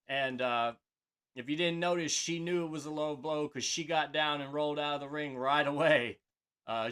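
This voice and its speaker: Bright-voiced man rambling about wrestling. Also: fairly high pitch. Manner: rambling, filler-heavy, hesitant. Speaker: podcast co-host. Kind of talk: casual wrestling discussion.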